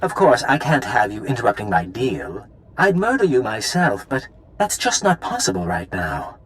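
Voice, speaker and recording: A man's voice: medium pitch, wildly swooping pitch, normal speed, a bit bright, slight background noise, rough recording. An actor playing a smug male villain in a video game, urbane, theatrical and condescending. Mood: mocking menace.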